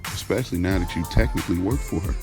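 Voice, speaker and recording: A man voicing an aloof, blunt, sensible male acquaintance in audio drama dialogue, measured and matter-of-fact. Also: low-pitched, music in the background, lightly acted. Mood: firm, advising.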